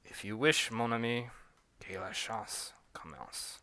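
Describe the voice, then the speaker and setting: A haughty French vampire master, voiced by a man, low and quiet with an aristocratic drawl. The voice is bright and crisp, recorded dry and up close. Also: slow pace, no echo. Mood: menacing. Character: drunken French male vampire master, demanding.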